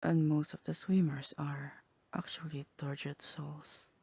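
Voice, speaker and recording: A woman voicing a sly, teasing demon in a half-whispered ASMR roleplay, languid and wry, with a husky voice. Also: sits low, flat delivery, a little slow, warm tone, no echo, full character voice. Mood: teasing, coaxing. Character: sly, teasing demon tempting a human toward hell.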